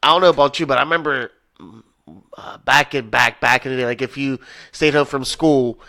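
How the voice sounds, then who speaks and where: A man's voice: mid-range voice, steady tone, a little fast, sharp tone. A man in his thirties in laid-back podcast chat, reminiscing in long run-on explanations. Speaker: podcast co-host. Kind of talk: casual chat.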